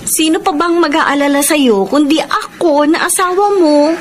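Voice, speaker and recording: A woman's voice: high voice, moderate pace, natural voice. An actress with a Filipino accent playing a doting, nagging wife in a radio drama, insistent with her reminders. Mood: teasing, doting. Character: doting, nagging wife who fusses over her husband. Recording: faint noise and ambience behind.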